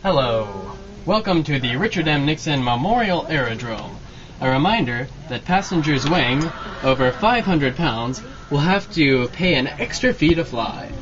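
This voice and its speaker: A man's voice: a little high, big pitch swings, normal speed. A man playing a cheerful, formal announcer type in an old-time radio drama. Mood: cheerful announcing. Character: breezy announcer and deferential airship crewman. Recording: muffled mic, clear background noise.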